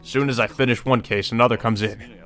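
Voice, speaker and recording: A man's voice: mid-range voice, steady tone, brisk, a bit bright. A man reading a visual novel aloud as narration for a playthrough, sometimes stumbling and rereading a line. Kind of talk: narration read aloud from a game. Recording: music behind the voice. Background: game music.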